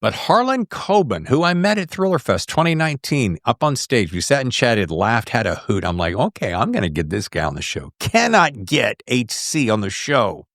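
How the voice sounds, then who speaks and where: A man's voice: mid-range voice, very animated, normal speed, hardly any pauses, fairly bright tone, no echo, clean studio sound. A middle-aged American man hosting a podcast in a casual, slangy, effusive way. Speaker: podcast host. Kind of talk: casual podcast interview chat.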